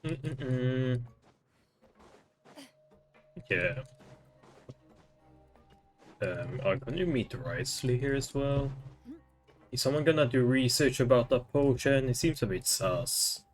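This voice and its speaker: Slow, offhand male game streamer. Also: medium pitch, expressive, faint music behind. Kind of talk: casual live game commentary.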